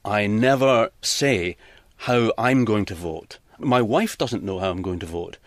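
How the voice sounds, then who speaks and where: A man speaks as an interviewed journalist. His voice sits fairly low and is recorded close, with very little room sound. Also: a little slow, no room sound. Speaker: broadcast journalist being interviewed. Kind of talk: interview answers.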